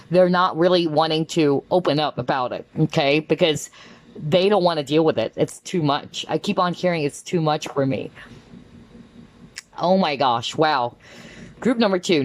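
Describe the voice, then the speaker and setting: Low-voiced chatty woman tarot reader. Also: normal speed, rough recording. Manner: chatty, checks in with tag questions; animated, exclaims often. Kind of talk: casual pick-a-card reading.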